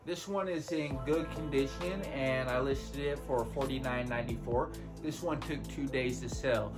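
A man's voice: medium pitch, normal speed, over loud music. A man in his thirties hosting a casual product rundown to camera, seated in a living room. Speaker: video host, online reseller.

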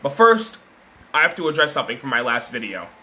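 A man giving casual gameplay commentary, chatty with frequent fillers and an occasional stutter, whooping loudly at big plays. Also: medium pitch, big pitch swings, quick pace. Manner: chatty, self-described stutter, many fillers. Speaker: gaming video creator.

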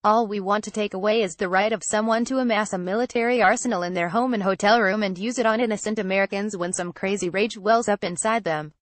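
Female reader for an op-ed; steady, measured, emphatic pauses, dry booth sound. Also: medium pitch, dry recording. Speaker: reader of an opinion column. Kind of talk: read-aloud opinion column.

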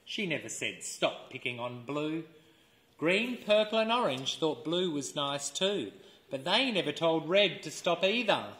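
A man reading a children's storybook aloud as an educator, expressive and deliberate, pausing for listeners to fill in words. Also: on the high side. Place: indoors, seated in a room with wooden floor. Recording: roomy indoor camera sound.

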